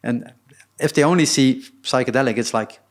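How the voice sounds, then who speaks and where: A man hosting a casual interview, relaxed and talkative, often stumbling over his words. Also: mid-range voice, normal speed. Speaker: interview host.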